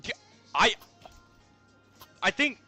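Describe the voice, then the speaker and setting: A man streaming a game, talking casually with loud, profane, excitable outbursts. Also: extremely slow, dry recording, soft background music. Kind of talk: casual live gameplay commentary.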